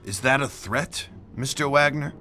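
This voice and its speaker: An actor playing a world-weary, suspicious police detective in a video game, speaking in a measured, grave and deliberate way. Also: male voice, mid-range voice, bright, forceful tone, soft background music. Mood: wry, pressured. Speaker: detective character and narrator. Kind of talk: dramatic noir-style narration and dialogue.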